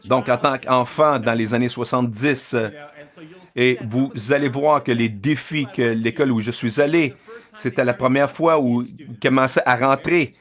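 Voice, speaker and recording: A man giving an interpreted keynote speech to parents and educators. He pauses often, with many filler sounds and restarts. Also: mid-range voice, steady tone, a bit bright. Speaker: keynote speaker.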